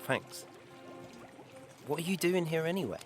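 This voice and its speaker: A man's voice: a little high, animated, a little slow, faint music behind. A man playing a cheeky but guarded young servant boy in an audio drama.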